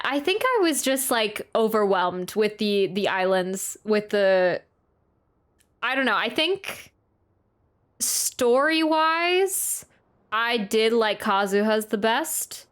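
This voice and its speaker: A woman chatting casually as she streams and reacts to a video game, in an informal, rambling, halting way. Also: a little high, expressive, fairly bright tone, clear, resonant tone. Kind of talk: casual live reaction chat.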